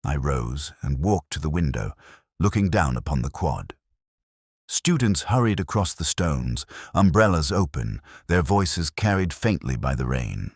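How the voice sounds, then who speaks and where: A man playing a melancholy middle-aged police inspector, narrating his mystery in measured, solemn, literary prose. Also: very deep voice, very animated, husky, no echo, clean studio sound, exaggerated voice. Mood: pensive, melancholy. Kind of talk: scripted first-person literary mystery narration.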